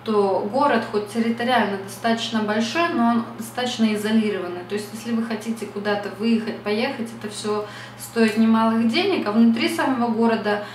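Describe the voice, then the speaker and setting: A woman's voice: on the high side, fairly quick, roomy sound, recorded from a distance, slight background noise, low-quality recording. A young woman from Russia talking casually to camera at home, reflective and chatty, with many fillers. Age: young adult. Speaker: beauty vlogger. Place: small bright room at home.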